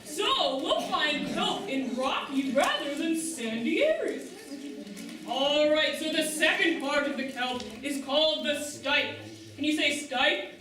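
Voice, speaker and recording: A woman playing a lively, bossy manta ray teacher, giving encouraging lessons to children in a puppet show. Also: high-pitched, measured pace, very noisy. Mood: excited. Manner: exclamatory, encouraging, instructive. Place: large room at open house puppet show. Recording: very echoey hall, far mic, crowd.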